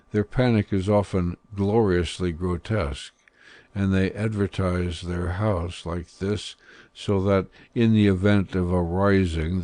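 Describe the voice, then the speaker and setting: A man's voice: low-pitched, mellow, no room sound. A man voicing an earnest, sensitive young man in an audiobook, speaking with restraint. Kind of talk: audiobook character dialogue.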